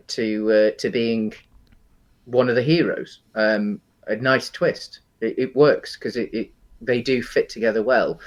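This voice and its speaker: Male podcast co-host, lower register, casual film discussion, halting and explanatory delivery.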